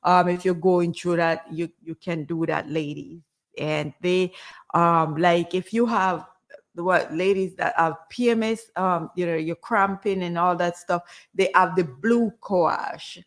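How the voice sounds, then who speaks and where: A woman with a Jamaican accent, chatting casually as a guest and sharing health tips in a gentle, rambling way. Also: lowish voice, fairly even intonation, unhurried. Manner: gentle, rambling, many fillers. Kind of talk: casual health advice chat.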